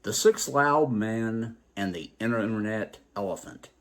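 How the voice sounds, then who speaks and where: A man hosting a story program, telling tales and reflecting on their morals in a deliberate, emphatic way. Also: lowish voice. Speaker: storytelling show host.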